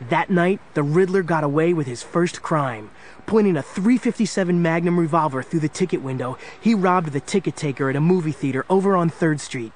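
An actor playing an eager young sidekick, narrating a children's audio drama in a dramatic scripted style. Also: male voice, fairly high pitch, a little fast, slight background noise, natural voice. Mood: earnest storytelling. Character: eager young male sidekick telling case history.

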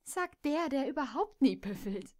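A woman voicing a young hot-tempered mare who shouts shrilly when angry. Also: high voice, sing-song, a little slow, voice pushed up. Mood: teasing.

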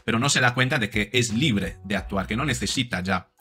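A man in his forties talks straight to camera into a desk mic in a home studio, thinking aloud with many small restarts. His voice is bright and forward, and faint music plays softly behind him. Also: lowish voice, brisk, breathy edge. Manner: reflective, with many fillers and repeats. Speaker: psychologist and commentator. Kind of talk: video commentary to camera.

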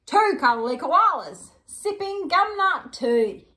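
A young woman with an Australian accent, playful and expressive, chatting casually and reading picture books aloud to children as a co-host. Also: extremely high pitch, takes it slowly. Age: young adult. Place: indoor living room on a sofa.

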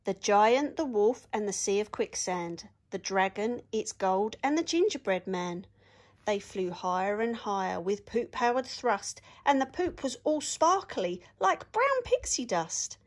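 A woman reads a rhyming picture book aloud to children. Her pitch swings in a lively sing-song, and she takes her time over the verse, with expressive storytelling throughout. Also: unhurried. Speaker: teacher reading aloud.

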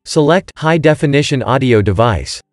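A man's voice: mid-range voice, expressive, moderate pace, no echo, studio quality. A man reading scripted step-by-step instructions in short commands, as a tutorial narrator. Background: background music.

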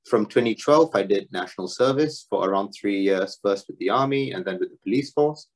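Young man from Singapore, low voice, relaxed research presenter with fillers and dry self-mockery. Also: a little fast. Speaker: doctoral student presenter. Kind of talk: academic research talk. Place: home room, video talk.

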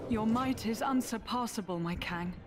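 A woman playing a warlord's admiring consort, formal and deliberate, in a video game. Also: a little high, background noise, close to an everyday voice. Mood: adoring. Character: adoring, poised female consort of a warlord. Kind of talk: video game cutscene acting. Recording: game music behind.

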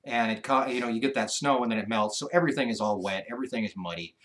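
A man in his forties co-hosting a casual ranch livestream from a living room couch, talking in an easygoing, halting way with lots of fillers. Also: medium pitch, a bit bright. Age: 40s. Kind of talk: casual live chat.

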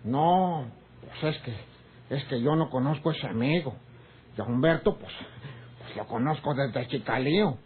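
A man's voice: medium pitch, moderate pace, close to an everyday voice. An actor voices a cheeky ranch hand in charge of a Mexican rancho, in old radio-drama style. His talk is folksy and countrified. The recording is narrow and tinny, with faint noise. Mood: uneasy, evasive. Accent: rural Mexican. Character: cheeky, flirtatious Mexican ranch foreman, rough around edges.

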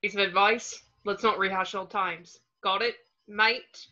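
A woman's voice: mid-range voice, fairly even intonation, unhurried, sharp tone. A woman voicing a blunt, casual young male wizard who speaks colloquially. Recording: muffled video-call audio.

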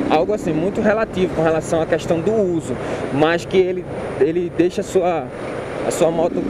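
A man talking casually and informally while riding a motorcycle in city traffic, repeating himself and using slang. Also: on the high side. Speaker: motovlogger. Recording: loud road and wind noise. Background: loud traffic and engine noise.

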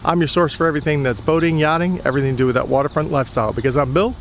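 A middle-aged man hosting a boating vlog, outdoors on a docked yacht at a marina, upbeat and quick to ask leading questions. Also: a little high, slight background noise. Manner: upbeat, leading questions, hyped sign-offs. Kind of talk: enthusiastic boat-show walkthrough.

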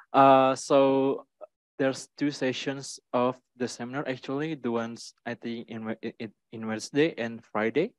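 A young man from Indonesia talks through his class routine on a student panel, steady and explanatory with frequent "uh." His voice sits in a middle range and the handheld mic sounds dry. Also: mid-range voice, no echo, poor audio. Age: young adult. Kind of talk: casual panel answers. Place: indoor meeting room.

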